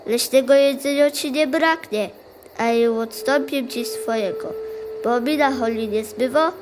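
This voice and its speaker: A woman playing a kind, clever young male sheepdog in an audio play, friendly and reassuring, with a Polish Goral highland accent. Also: unhurried, background noise. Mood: helpful, eager. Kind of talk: audio play dialogue in dialect.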